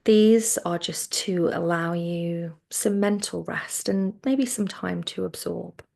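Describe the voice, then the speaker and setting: Slow-talking, creaky-voiced woman guiding relaxation. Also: lowish voice. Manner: soothing, gentle instruction with soft trailing phrase endings. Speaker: yoga nidra relaxation teacher. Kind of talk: guided relaxation meditation.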